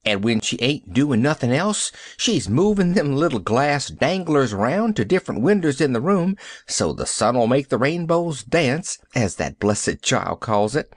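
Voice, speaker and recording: A man's voice: mid-range voice, very animated, measured pace, few pauses, no room sound, clean studio sound. A man voicing a gossipy, chatty country housemaid with rustic dialect speech in a storybook reading. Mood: gushing.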